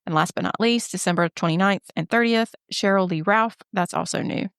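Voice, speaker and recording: A woman's voice: mid-range voice, a little fast, clean tone, no room sound, studio quality. A woman co-hosting a travel podcast, talking casually while a little stuffy and nasal from a head cold. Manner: runs through lists in a steady, even delivery. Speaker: podcast co-host and travel agent.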